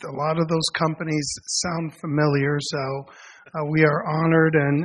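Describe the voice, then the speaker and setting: A man chairing a school board meeting, running the agenda formally with some fillers. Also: on the high side.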